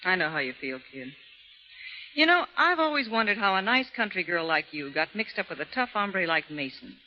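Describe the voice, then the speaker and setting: A woman's voice: mid-range voice, sing-song, normal speed, slight background noise, natural voice. An actress playing a tough, slangy female convict who shares a cell, in a vintage radio drama. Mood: gruff. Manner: hardboiled slangy, clipped. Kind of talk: 1940s radio acting. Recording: dull lo-fi old radio transcription.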